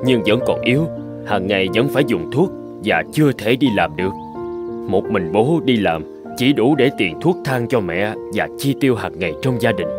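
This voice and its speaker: A man voicing a gentle, reassuring father in a dubbed cartoon. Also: fairly low pitch, animated, moderate pace, over music, close to an everyday voice. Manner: warm, kindly, reassuring. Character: gentle, hardworking young father. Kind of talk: cartoon dubbing.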